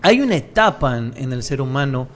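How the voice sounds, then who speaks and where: A man with an Ecuadorian Spanish accent hosting a casual podcast interview, lively and joking with his guest. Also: mid-range voice, brisk, slight background noise. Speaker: podcast host.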